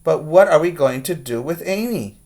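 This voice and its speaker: A man's voice: on the high side, animated, moderate pace, darkish tone, pitched above the performer's own voice. A man voicing an exasperated mother from a children's storybook, emphatic and pleading. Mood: frantic. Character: exasperated storybook mother trying to stay nice.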